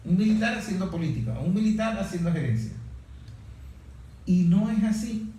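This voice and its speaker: A man in a reflective political discussion as interviewer, speaking haltingly with repeated words and rhetorical questions. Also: high voice, animated, dark tone. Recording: very echoey room, distant mic, faint noise.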